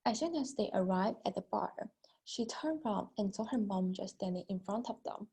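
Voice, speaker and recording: Husky young woman giving a prepared speech. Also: medium pitch, expressive, moderate pace, warm tone. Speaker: student speaker.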